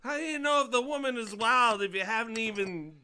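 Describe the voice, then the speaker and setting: A man's voice: extremely high pitch, sharp tone, a little hiss or hum, full character voice. An actor playing a folksy father in an audio drama, who acts like a jovial barfly to confront a predator and can turn menacing. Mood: nosy, jovial. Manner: folksy, drawling, mock-friendly, then slow and threatening.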